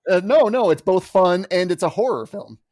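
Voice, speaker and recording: Man, medium pitch, dry close recording, casual debate, contrary, podcast defense. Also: animated, moderate pace, darkish tone, no room sound. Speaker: podcast panelist arguing as mock defense.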